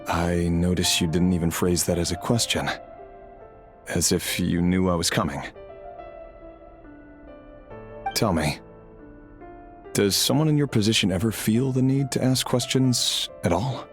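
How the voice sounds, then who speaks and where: Fantasy game role for a male actor: sardonic royal enforcer, very low voice, wry, uneasy, self-justifying, light music underneath. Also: moderate pace, lightly acted. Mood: wry, probing. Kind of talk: dramatic video game voice acting.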